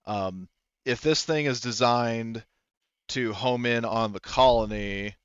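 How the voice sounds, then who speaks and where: A man acting as game master, narrating and explaining a tabletop game in a measured, conversational way. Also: mid-range voice, clean tone, dry recording, muffled recording.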